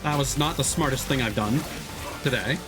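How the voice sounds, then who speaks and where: A man's voice: mid-range voice, normal speed. A man live streaming a video game with casual, playful, joking commentary. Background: game music.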